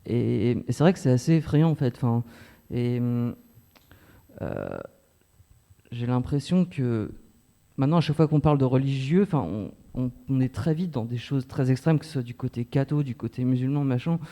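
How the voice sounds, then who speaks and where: A man's voice: medium pitch, expressive, moderate pace, mellow. A man in his forties from northern France, speaking casually and hesitantly as an audience member, in a rambling, colloquial way. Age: 40s. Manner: hesitant, colloquial, rambling with many filler words. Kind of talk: casual spontaneous remarks.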